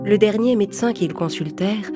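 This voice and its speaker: A woman's voice: medium pitch, fairly quick, over loud music. A woman storyteller reading a scripted children's fairy tale as narration.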